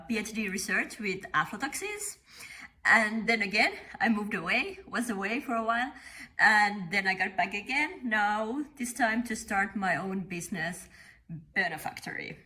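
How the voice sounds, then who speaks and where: A middle-aged woman from Finland with a Finnish accent, speaking as a company founder in an interview, measured and thoughtful with frequent pauses. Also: mid-range voice, bright, forceful tone. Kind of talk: interview monologue.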